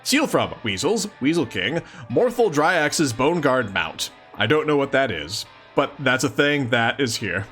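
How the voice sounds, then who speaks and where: A man's voice: mid-range voice, sing-song, measured pace, hardly any pauses, fairly bright tone, faint music behind. A man doing a casual live read-along of a comic, reading expressively and adding mock-incredulous asides. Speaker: streamer reading a comic aloud.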